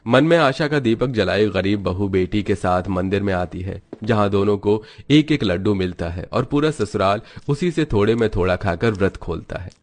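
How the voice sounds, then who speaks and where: Guy narrating a moral story from a script, fairly low voice. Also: animated, moderate pace, hardly any pauses, a little mellow.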